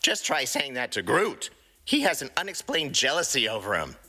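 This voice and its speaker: A cocky little raccoon troublemaker, acted out by a man, with a bright, forceful edge and wildly swinging pitch. He sounds defensive and quick to snicker, like a comic audio drama rascal. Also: sing-song, exaggerated voice. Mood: cocky storytelling. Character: brash, snickering small male raccoon troublemaker.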